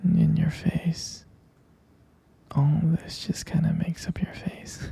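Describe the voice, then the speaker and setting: A person whispering intimately and close to the microphone in a romantic ASMR roleplay as a tender, adoring vampire lover, with hesitant pauses. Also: medium pitch, level tone, mellow, dry recording. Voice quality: breathy, husky whisper. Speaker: ASMR performer playing a loving partner.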